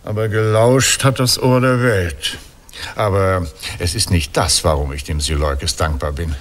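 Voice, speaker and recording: Wry, low-voiced Greek hero. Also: male voice, fairly even intonation. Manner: gently ironic, courtly, sometimes halting. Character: Odysseus, wry, teasing, mature Greek hero and husband. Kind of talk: radio play dialogue.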